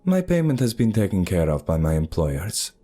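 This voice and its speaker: A man's voice: low voice, very animated, moderate pace, mellow. A man voicing an aloof, terse female monster huntress in a spoken story, curt and detached. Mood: cool.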